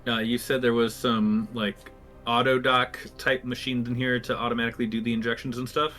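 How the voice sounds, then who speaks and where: A man's voice: mid-range voice, level tone, brisk, faint music behind. A man playing a tabletop game, casually talking through his character's actions. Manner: plans actions out loud, casual. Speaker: player. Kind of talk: tabletop roleplay chat.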